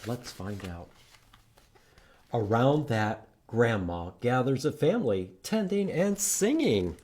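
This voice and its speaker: Male storytime reader for young children: lively pitch swings, unhurried delivery, participatory and expressive. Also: slight background noise. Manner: expressive, animated, asks listeners to join in. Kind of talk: read-aloud storytime with singing and prompts to children.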